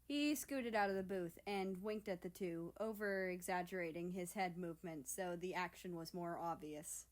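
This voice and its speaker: A woman's voice: on the low side, moderate pace, few pauses. A woman narrating scripted fiction as a story reader.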